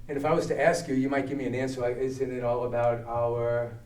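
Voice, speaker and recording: A man's voice: medium pitch, level tone, brisk, darkish tone. Man giving a talk in an echoey hall. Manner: conversational, asks the audience questions. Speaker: guest speaker. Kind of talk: adult education talk. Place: church hall. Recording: echoey hall, distant mic.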